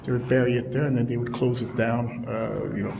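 A man's voice: medium pitch, steady tone, brisk, vocal fry, noisy background. A man in his thirties casually narrating a travel vlog outdoors, speaking to a camera held at arm's length, chatty and hesitant with many fillers. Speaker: travel vlogger. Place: outdoors at desert ruins and rock canyon. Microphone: handheld camera at arm's length. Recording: music playing over it.

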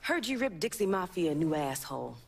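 A hard young American woman in a game drama. Her low, husky voice is blunt and bossy, defiant with everyone. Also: very animated, a little fast, full character voice. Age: young adult. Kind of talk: video game cutscene acting.

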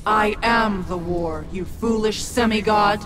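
A woman's voice: medium pitch, unhurried, natural voice. A woman voicing an imperious, mocking ancient soul-devouring witch in a video game. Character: haughty, menacing ancient witch who devours souls. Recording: loud music behind studio game voice.

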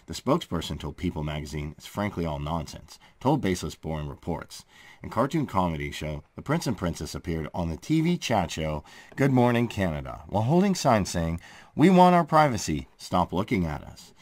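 Deep-voiced male news narrator, very lively pitch. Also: normal speed. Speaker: host of a royal news channel. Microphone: close voice-over microphone.

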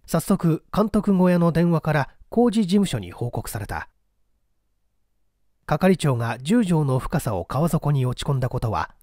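Mellow, expressive male storyteller. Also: a little high, no room sound, clean studio sound. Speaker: audiobook narrator, stage actor. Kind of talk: dramatic scripted literary narration.

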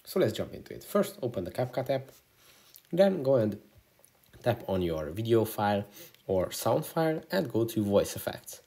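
A man's voice: fairly low pitch, big pitch swings, moderate pace, creaky, warm tone. A man hosting a screen-recorded app tutorial, explaining the steps as a voice-over. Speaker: tutorial host.

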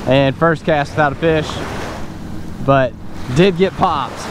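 Young man, fishing vlogger, fairly high voice, chatty self-narration on a beach, clear wave noise behind. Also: slow pace. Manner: excited, talking to himself between casts. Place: open beach at the surf line, outdoors. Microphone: wearable action camera. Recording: outdoor wind and surf noise. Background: surf and waves.

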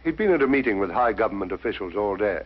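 Terse police inspector, sceptical, lowish lively voice, muffled 50s soundtrack. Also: male voice, quick pace, husky. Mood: matter-of-fact. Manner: terse, puzzled. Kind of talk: 1950s television mystery acting. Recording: dull, muffled 1950s soundtrack.

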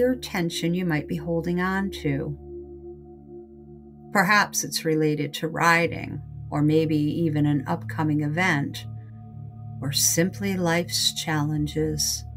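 A woman guiding a scripted meditation in a calm, unhurried, soothing manner. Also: fairly low pitch. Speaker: meditation guide. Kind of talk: scripted guided meditation narration.

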